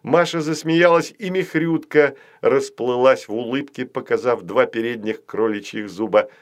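A man's voice: medium pitch, fairly even intonation, moderate pace. A man reading scripted narration as the storyteller of a children's fairy tale.

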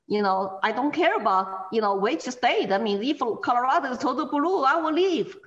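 Brief: woman, Chinese accent, earnest interview guest, fairly high voice, muffled lo-fi audio. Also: normal speed. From China. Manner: earnest, animated, with frequent fillers and restarts. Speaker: guest, former political candidate. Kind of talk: interview over a call.

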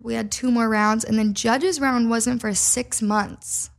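A woman's voice: a little high, steady tone, measured pace, creaky. Chatty young woman from Minnesota. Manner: chatty, full of "like" fillers, laughs easily. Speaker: podcast guest, singer. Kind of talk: casual storytelling chat.

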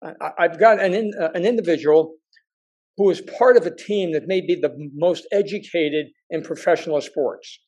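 Halting male interview host. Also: fairly high pitch, a little mellow, husky, highs cut off. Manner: halting, repeats words while framing questions. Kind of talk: interview questions.

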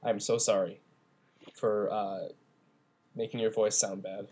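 A man's voice: fairly low pitch, flat delivery, a little slow, dark tone, husky. A young man with a stuffy nose and a sore throat, co-hosting a casual podcast by phone with playful, teasing banter. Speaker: podcast co-host. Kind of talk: casual chat.

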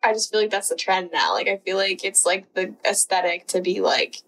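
A woman's voice: mid-range voice, steady tone, brisk, a bit bright, rough recording. A woman in her twenties co-hosting a podcast, chatting casually and giggling, often searching for words. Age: 20s. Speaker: podcast co-host. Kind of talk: casual chat.

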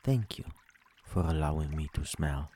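Very low, mellow man guiding sleep meditation. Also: a little hiss or hum. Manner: slow, soothing delivery with long pauses between phrases. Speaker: meditation guide. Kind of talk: guided sleep meditation, body scan.

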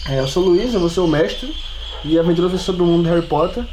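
A man's voice: high voice, expressive, racing, darkish tone, slight background noise, poor audio. A man with a Brazilian Portuguese accent chatting casually as a podcast co-host and game master.

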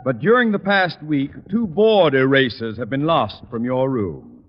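An actor playing an ill-tempered, pompous middle-aged school principal in a radio sitcom. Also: male voice, medium pitch, unhurried, lightly acted. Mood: imperious, curt. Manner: pompous, exasperated, imperious. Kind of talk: 1940s-50s radio sitcom acting. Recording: muffled, noisy vintage radio recording, echoey hall.

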